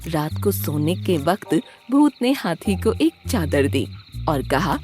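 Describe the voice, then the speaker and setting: Animated woman narrating a children's story. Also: fairly low pitch, slow pace. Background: background music.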